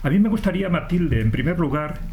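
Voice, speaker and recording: A man's voice: fairly high pitch, animated, fast talker, a little mellow, a little hiss or hum. A man, hosting and interviewing for a podcast.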